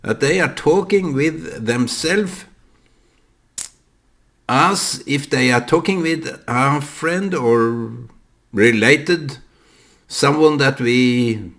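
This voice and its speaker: A man with a Norwegian accent, a native Norwegian speaker, talking to the camera in a reflective monologue, halting and full of fillers and restarts. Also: medium pitch, fairly bright tone. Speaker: vlogger.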